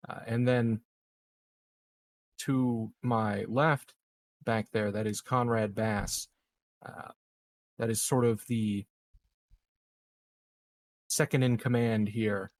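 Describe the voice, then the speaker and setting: A man playing a halting, official colony leader in a tabletop game, with formal, hesitant phrasing. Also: on the low side, steady tone.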